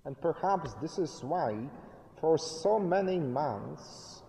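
A middle-aged pastor delivers a sermon at a very slow, measured pace, his dark, mellow voice rising and falling in lively swings. He pauses often for emphasis, and his words ring out through a long church reverb. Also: on the high side, creaky. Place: church sanctuary, indoors, at a lectern. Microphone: fixed camera at a distance.

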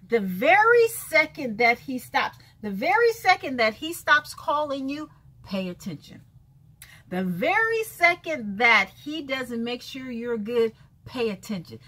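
Sassy 51-year-old woman, high bright voice. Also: slightly rough. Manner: sassy, emphatic, repeats lines for effect, calls listeners baby and honey; lively hand gestures while talking. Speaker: vlogger. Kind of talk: casual talking-head rant. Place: bright room with shelves of books by a window, indoors.

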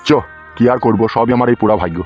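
A man's voice: mid-range voice, fast, close to an everyday voice. A man voicing a put-upon village uncle in a comedy cartoon, complaining and resigned in a rustic way, with lo-fi sound. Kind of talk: amateur comedy cartoon dialogue. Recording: dull lo-fi sound with music.

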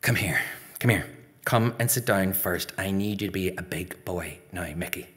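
A man's voice: low voice, normal speed, fairly bright tone. A man voicing a stern, no-nonsense Northern Irish mother in a told story, scolding and commanding but caring. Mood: firm, weary.

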